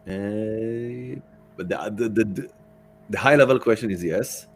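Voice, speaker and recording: A man giving casual interview answers as a podcast guest, halting and matter-of-fact. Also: fairly low pitch, faint music behind. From Israel.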